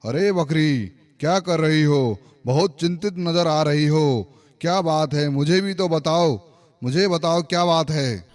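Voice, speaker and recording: A man acts out a benevolent lion who rules a cartoon forest. The voice sits a little high for a male. The delivery is emphatic and paternal, full of reassurance and authority. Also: measured pace, no echo, natural voice. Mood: concerned. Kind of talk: Hindi animated moral-story voice acting.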